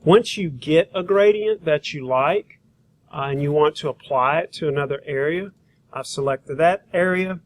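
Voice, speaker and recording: A man teaching a software demonstration, talking informally and thinking aloud as he works through each step. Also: a little high, normal speed. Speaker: graphic design instructor.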